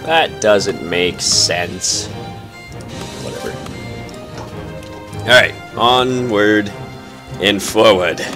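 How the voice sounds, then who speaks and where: A man's voice: on the low side, drawn-out words, pauses often. A young man hosting a casual let's play, chatty and playful, a little stuffed up. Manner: chatty, playful, easily excited. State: slightly stuffed up. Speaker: gaming channel host. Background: game music.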